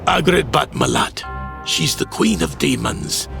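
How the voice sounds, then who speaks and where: An actor playing a pompous, defensive bishop in an audio drama, indignant and theatrical. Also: male voice, fairly high pitch, lively intonation, slow pace, gravelly, bright, forceful tone, soft background music, exaggerated voice. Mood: grave, alarmed. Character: imperious, defensive male bishop, outraged authority. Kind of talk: dramatic audio fiction acting.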